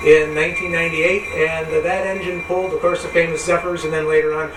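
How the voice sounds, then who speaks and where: American male guest explaining his work in conversation, slightly high voice, off-mic in a reverberant noisy setting. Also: flat delivery, fast, over music. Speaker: interview guest, railway operations superintendent. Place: back platform of old passenger railcar. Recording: echoey distant pickup, noisy railyard behind. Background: loud passing train, railyard noise.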